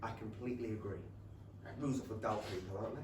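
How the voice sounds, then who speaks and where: An actor playing a boyishly enthusiastic, rich young Regency gentleman with an English accent in a staged comedy reading. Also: lowish voice, steady tone, fast talker, natural voice. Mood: polite, friendly. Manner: eager, gushing. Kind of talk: staged reading of a comic play. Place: small theatre stage. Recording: roomy theatre, distant mic, faint noise.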